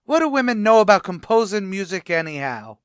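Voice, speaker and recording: A man's voice: high voice, very animated, brisk, fairly bright tone, clear, resonant tone, dry recording, clean studio sound. A man playing a boastful, wisecracking tavern manager in an old-time radio comedy, with a pompous, blustery delivery.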